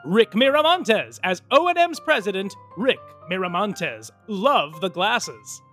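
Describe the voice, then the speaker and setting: A man's voice: high-pitched, very animated, a little slow, bright, forceful tone, soft background music. A man narrating a comic audio soap and its credits in a theatrical, mock-grandiose announcer style.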